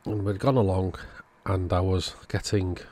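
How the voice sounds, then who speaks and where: A man, host of an electronics repair video, calmly thinking aloud as he methodically works on a radio at his workbench. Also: sits low, a little slow. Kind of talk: casual narrated repair walkthrough.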